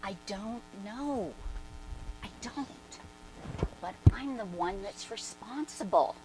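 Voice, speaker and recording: A woman's voice: mid-range voice, expressive, slow, over loud music, rough recording. A woman performing a dramatic reading as a brash, independent-minded woman recalling her past in emphatic, conversational asides. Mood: insistent, puzzled. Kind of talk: dramatic reading, animated first-person storytelling.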